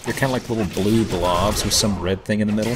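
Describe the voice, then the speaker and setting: A man giving casual, somewhat halting commentary as host of a gameplay video, with a self-deprecating manner. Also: lowish voice. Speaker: let's play host. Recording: game music behind voice. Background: video game music.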